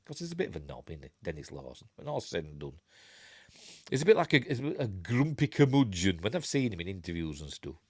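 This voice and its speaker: A lowish, stuffy-nosed older man with a broad Yorkshire accent hosts a casual news live stream. He reads articles with plenty of rise and fall, breaking off for dry, blunt remarks. His voice sits close and dry on the mic, with no room sound. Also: sing-song, brisk, a little mellow, breathy edge, no room sound. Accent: Yorkshire English. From Yorkshire, England. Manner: reads articles aloud with wry, blunt off-the-cuff asides. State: congested, stuffy nose. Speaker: host of a geek news stream.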